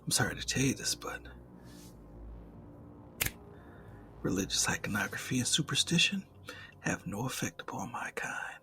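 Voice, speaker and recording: A man voicing a suave, mocking, predatory demon in an ASMR roleplay, speaking formally and eloquently and often dropping into a breathy whisper. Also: mid-range voice, lively intonation, fairly quick, bright, forceful tone. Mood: smooth, superior.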